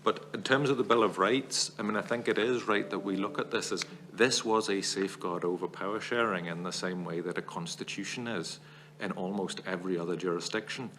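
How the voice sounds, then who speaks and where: Low-voiced man giving measured testimony. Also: a bit bright, a little hiss or hum. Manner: measured, analytical, builds long arguments. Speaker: witness at a parliamentary committee. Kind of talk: formal committee testimony.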